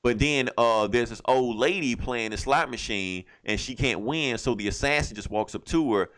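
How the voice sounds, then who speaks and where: A man's voice: mid-range voice, normal speed, a bit bright. A man co-hosting a podcast, telling a story in a casual, rambling, slangy way with some swearing. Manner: rambling, slangy, acts out lines in passing. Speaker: podcast co-host reviewing comic books. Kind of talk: casual, profane storytelling recap.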